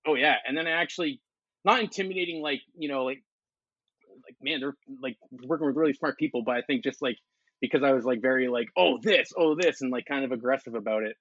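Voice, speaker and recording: A loud, intense young man, bursting with excitement as he chats on a podcast. His voice comes through dull and muffled, with no room sound at all. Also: mid-range voice, fast talker, no room sound. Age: young adult. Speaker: guest. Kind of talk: casual podcast chat.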